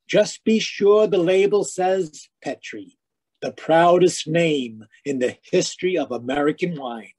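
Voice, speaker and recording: A hearty old-time radio announcer. His voice sits high for a man, with a folksy, cheery salesman's manner in the 1940s style, recorded over a live video call. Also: a little slow, a little mellow, slightly rough. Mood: hearty, selling. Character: cheery, folksy male radio announcer, eager sidekick listener. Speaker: radio announcer and sponsor pitchman.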